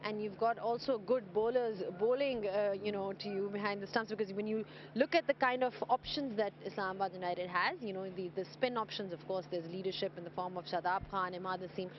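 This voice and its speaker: Measured female sports presenter outdoors. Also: medium pitch, a little hiss or hum. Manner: measured, scripted-sounding, drawn-out questions. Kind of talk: pitch-side interview. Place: outdoors at a cricket ground, pitch side. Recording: slightly dull outdoor broadcast audio.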